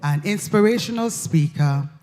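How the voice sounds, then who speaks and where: A man co-hosting a faith show, giving a formal introduction slowly and with many hesitant pauses. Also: on the high side, animated, a little mellow, in a large hall, soft background music. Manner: slow, halting, many "uh" pauses. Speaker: co-host introducing the host.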